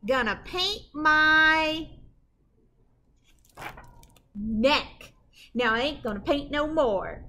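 A middle-aged woman reads aloud for children in a bright, very lively voice. Her delivery is dramatic and sing-song, with emphasis on the rhymes. There is faint room noise behind her. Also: sits high, drawn-out words, pauses often. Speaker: school librarian reading picture books aloud. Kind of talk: expressive children's read-aloud. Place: indoor library with bookshelves.

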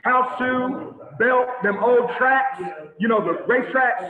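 A man preaching an animated sermon to a congregation over a video call, with lots of repetition and sudden shouts. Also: extremely high pitch, slow pace. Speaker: teacher of a congregation. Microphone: video call webcam. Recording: tinny phone-like echoey audio.

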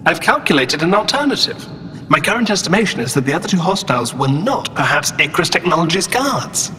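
An actor playing a polite, fussy robot assistant in an audio drama, formal, precise and apologetic. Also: male voice, big pitch swings, brisk, bright, forceful tone. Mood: politely insistent. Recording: long reverb, faint rumble behind.

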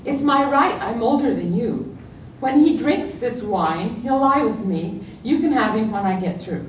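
Older female poet, playful slow delivery, animated pitch, distant roomy recording. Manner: lively, playful storytelling lilt. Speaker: poet at open mic. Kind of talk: humorous poetry reading. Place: library meeting room, at a podium. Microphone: stand mic, far camera. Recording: echoey room, distant mic, faint rumble.

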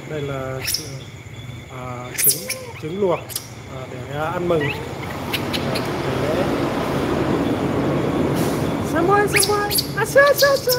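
Low, animated Vietnamese woman amid loud insects. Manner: repeats words and names in quick runs. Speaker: vlog host filming pet monkeys. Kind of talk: casual chat to pets and viewers. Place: large open-sided roofed restaurant at night. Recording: very noisy outdoor recording with insects and animals. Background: crickets, insects and animal sounds.

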